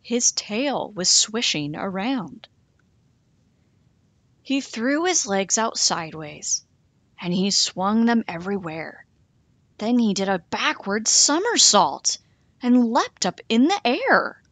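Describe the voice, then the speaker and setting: A woman reading a rhyming picture book aloud for children, slow and expressive with a sing-song lilt. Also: medium pitch. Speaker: storytime reader.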